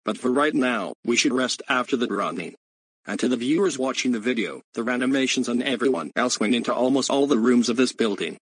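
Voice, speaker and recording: A man voicing a fugitive hiding from the police, talking in a hushed way and explaining to viewers. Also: mid-range voice, a little fast, husky, close to an everyday voice, rough recording. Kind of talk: amateur voice acting.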